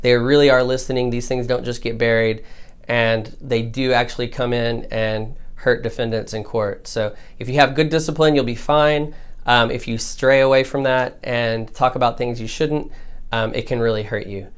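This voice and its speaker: A man's voice: mid-range voice, steady tone, moderate pace, clear, resonant tone. An American man, a defense lawyer, presenting an informal legal explainer in a plain-spoken, colloquial, instructive way.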